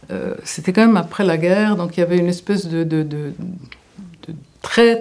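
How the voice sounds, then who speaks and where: An older woman, a former teacher from Alsace, answers interview questions in a reflective, unhurried way. Her voice is gravelly and mellow. She hesitates often and restarts her phrases while searching for the right word. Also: fairly low pitch. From Alsace, France.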